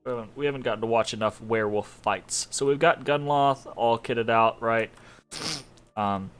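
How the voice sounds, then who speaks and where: A man's voice: medium pitch, normal speed, fairly bright tone, clean tone, faint music behind, rough recording. A young man with a congested, sniffly voice, giving casual commentary on a strategy game, loose and rambling with many fillers and small laughs. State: congested from allergies.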